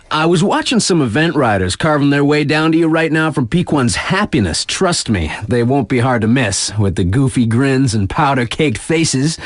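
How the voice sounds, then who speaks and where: An actor playing a laid-back, wry radio DJ at a snowboard resort, chatting in slangy, conversational announcements for a game. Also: male voice, mid-range voice, dry recording, close to an everyday voice.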